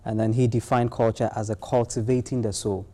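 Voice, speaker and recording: Guy on a tv panel, calm and explainy, lots of ums. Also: on the low side. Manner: measured, explanatory, with hesitant fillers. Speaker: studio guest. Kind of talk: explaining in a studio interview.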